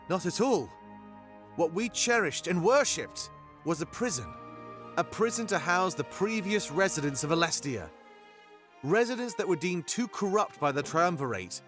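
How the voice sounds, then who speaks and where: An actor playing a fervent rebel leader in a fantasy video game, giving grandiose, oratorical speeches. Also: male voice, fairly high pitch, a bit bright. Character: fervent male rebel leader, an anthropomorphic animal rallying his fighters. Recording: music behind the voice. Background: music.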